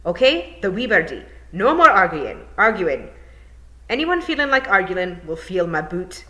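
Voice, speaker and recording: A woman's voice: on the low side, sing-song, unhurried, pushed and bright, full character voice. A woman voicing a small, blustering male pixie warrior chief. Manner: blustering, gruff, bossy.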